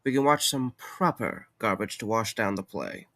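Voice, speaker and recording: Man as weary young guy, creaky voice, lively swings of pitch, sardonic, casual, anxious, audio drama. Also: moderate pace, heavily acted. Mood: placating.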